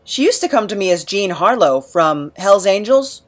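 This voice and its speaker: A woman playing an awkward, emotional misfit in an audio drama, hesitant and stammering, quick to put themselves down. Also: medium pitch, animated, natural voice. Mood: nervous, sheepish. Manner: hesitant, stammering, self-deprecating. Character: awkward, emotional, rambling misfit named Nap.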